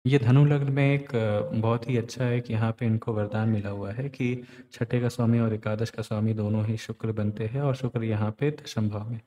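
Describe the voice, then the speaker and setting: A man's voice: on the low side, fairly even intonation, moderate pace, few pauses, dark tone. Man explaining charts in a reverberant room. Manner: explanatory, mixes English phrases into Hindi. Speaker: astrologer. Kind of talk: screen-recorded chart analysis. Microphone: voice-over on screen recording.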